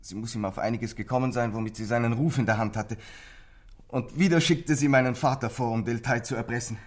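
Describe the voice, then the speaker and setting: Husky, troubled teenage boy played by a man. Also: medium pitch, steady tone, lightly acted. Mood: distraught. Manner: intense, halting, emotional confession. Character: troubled teenage boy, bitter, frightened, desperate. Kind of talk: German radio crime drama acting.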